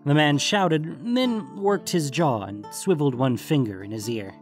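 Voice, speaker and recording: A man's voice: a little high, expressive, measured pace, soft background music. A man reading scripted fantasy story narration as the narrator.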